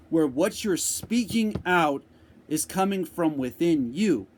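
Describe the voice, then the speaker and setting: A man giving an unscripted motivational talk, speaking slowly and deliberately with repeated phrases, answering a viewer's question. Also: high voice. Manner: slow, deliberate, repetitive phrasing.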